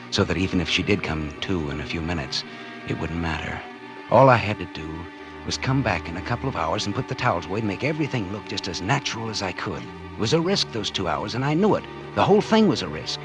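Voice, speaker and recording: An actor playing a scheming, guilt-ridden husband turned murderer in a 1940s American radio suspense drama, with tense hard-boiled confessional delivery. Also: male voice, sits low, lively intonation, fairly quick. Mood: grim, tense. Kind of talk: 1940s radio suspense acting with first-person narration. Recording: vintage 1940s radio drama recording, music behind.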